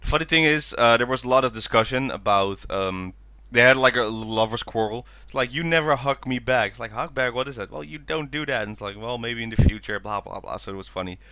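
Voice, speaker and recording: A man's voice: medium pitch, a little fast, hardly any pauses, pushed and bright, clear, resonant tone, no echo. A man hosting a casual fan discussion podcast, slightly stuffy-nosed with a cold coming on. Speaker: podcast host.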